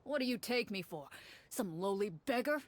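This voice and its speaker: A woman's voice: mid-range voice, animated, moderate pace, natural voice. A woman voicing a greedy, scornful male merchant in a video game, haughty and mocking.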